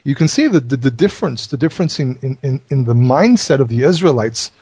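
A man's voice: mid-range voice, animated, fairly quick, dark tone, husky, dry recording. Man explaining scripture with many restarts. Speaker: Bible teacher explaining Hebrew terms. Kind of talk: casual Bible study discussion.

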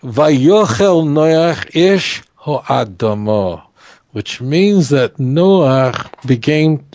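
A man whose first language is Hebrew teaches scripture in a lively, conversational way. He stumbles and restarts often as he talks. His voice is mellow and a little high, and the recording is dry with no room sound. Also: very slow, no echo. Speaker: Torah teacher. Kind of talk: conversational Bible study.

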